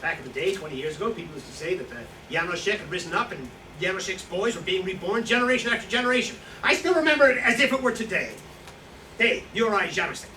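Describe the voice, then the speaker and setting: An actor playing a boastful, hard-drinking Slovak profiteer who styles himself an outlaw, rambling and justifying himself in a staged reading. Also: male voice, sits high, animated, fast talker, sharp tone. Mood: defiant, boastful. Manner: rambling, boastful, self-justifying, loses his thread. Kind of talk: staged play reading, monologue-like recollection. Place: indoor staged reading, distant microphone. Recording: echoey, noisy room recorded from far off.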